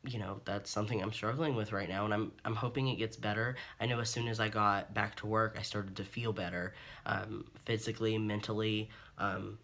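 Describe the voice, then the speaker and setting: Earnest young man in his twenties, lowish voice. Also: a bit bright. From Ohio, USA. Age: 20s. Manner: earnest, open, rambling with frequent ums and restarts. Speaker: vlogger. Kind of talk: candid personal monologue to camera.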